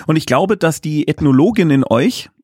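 A man's voice: a little high, expressive, normal speed, a little mellow, husky, dry recording, studio quality. A man speaking as a guest director in a casual interview, explaining things in chatty, enthusiastic detail. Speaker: guest, audio drama director.

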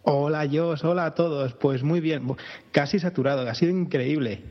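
A man's voice: fairly high pitch, moderate pace. A man with an accent from Spain chatting casually as a podcast guest. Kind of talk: casual chat. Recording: dull sound with long reverb.

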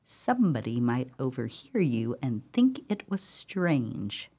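A woman's voice: very deep voice, very animated, takes it slowly, mellow, slightly rough, full character voice. A woman acting in a radio play as a stern, guarded sister with a formal, cautious manner. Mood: wary, hushing. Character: Caroline, stern, guarded sister hushing others.

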